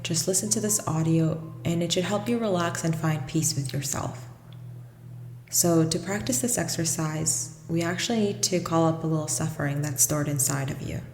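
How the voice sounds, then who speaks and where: A woman with a low voice guides a meditation over music, in long, washy reverb. She gives gentle, scripted instructions and leaves generous pauses between them. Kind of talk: guided meditation, slow soothing scripted narration.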